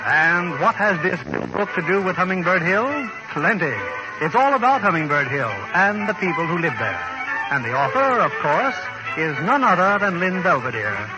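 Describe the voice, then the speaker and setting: A man's voice: sits high, very animated, normal speed, loud music behind the voice, poor audio. A man narrating a scripted radio drama in an emphatic, theatrical 1940s style. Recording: old radio recording.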